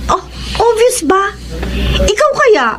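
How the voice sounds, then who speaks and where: A woman with a Filipino accent playing an affectionate, frank young woman, expressive and teasing, in a radio drama. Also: very high-pitched, slow pace, a little hiss or hum, full character voice. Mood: exasperated.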